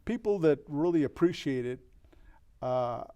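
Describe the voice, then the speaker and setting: An older man from El Paso, a record store owner, speaking as an interview guest in a reflective, earnest way, with frequent restarts and pauses. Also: on the high side, lively intonation, warm tone. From El Paso, Texas. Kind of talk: candid interview conversation.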